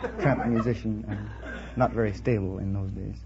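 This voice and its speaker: A man's voice: lowish voice, steady tone, moderate pace, vocal fry, over a noisy crowd. A man answering as a quiz show contestant, speaking hesitantly in a wry, bookish and wordy way on an old broadcast recording. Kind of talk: unscripted interview answers. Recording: muffled, noisy 1950s radio broadcast.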